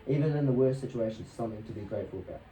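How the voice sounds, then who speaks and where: A man's voice: medium pitch, very fast, mellow. A young man from New Zealand and Australia telling casual, rambling stories as a podcast guest in a laid-back, slangy, sweary way. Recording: roomy echo, distant mic, faint noise.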